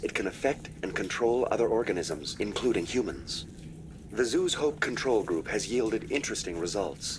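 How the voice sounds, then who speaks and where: A man's voice: on the low side, background noise, lightly acted. Man voicing a formal, impassive computer assistant. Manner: formal, measured, detached. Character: polite, impassive male virtual assistant, unfailingly procedural. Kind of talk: video game voice acting.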